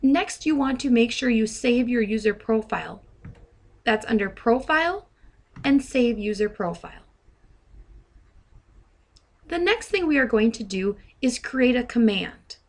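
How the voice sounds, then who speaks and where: A woman presenting step-by-step software training in a deliberate, instructional manner. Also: a little high, expressive. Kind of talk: step-by-step tutorial narration. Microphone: handheld dictation microphone.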